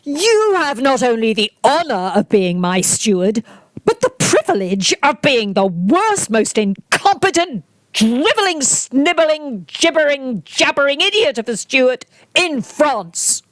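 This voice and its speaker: A woman's voice: sits high, sing-song, a little slow, dry recording, low-quality recording. A woman playing a blustering, bullying male squire and castle captain in a radio play, pompous and imperious. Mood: pompous, scornful. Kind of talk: theatrical radio play acting.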